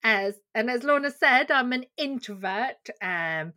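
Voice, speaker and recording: A woman's voice: on the high side, lively intonation, a little slow, bright, forceful tone. A woman from Sweden, a guest on a podcast, chatting casually about her business with fillers and frequent laughs. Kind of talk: casual interview chat.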